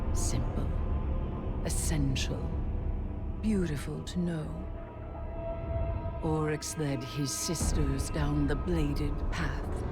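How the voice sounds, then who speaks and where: An actress playing a solemn, resolute woman devoted to a dark creed in a video game, speaking gravely and deliberately, as if chanting doctrine. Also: low-pitched. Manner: grave, deliberate, incantatory delivery. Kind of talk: dramatic video game monologue. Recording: loud music behind the voice. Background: loud game music.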